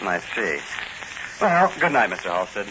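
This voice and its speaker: An actor playing a cool, wry young police hero in a teasing manner, in 1940s radio style on a noisy recording. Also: male voice, lowish voice, big pitch swings, normal speed, highs cut off. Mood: wry, probing. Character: cool, wry rookie patrolman, secretly a masked vigilante.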